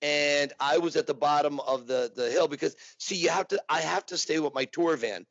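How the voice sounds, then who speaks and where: A man's voice: fairly high pitch, normal speed, no room sound. A man telling rambling, joking stories as a guest in a casual interview. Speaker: tour guide, documentary subject. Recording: dry, muffled remote audio.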